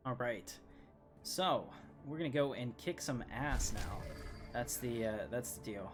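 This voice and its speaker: A man's voice: mid-range voice, lively intonation, unhurried, music in the background. A man giving casual, chatty gameplay commentary as a streamer. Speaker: game streamer.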